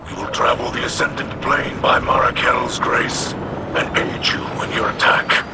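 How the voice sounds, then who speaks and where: A man voicing a stern, honourable alien warrior captain in a video game, grave and formal with a breathy, rasping growl. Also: medium pitch, steady tone, unhurried, very noisy, close to an everyday voice. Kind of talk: video game cutscene acting.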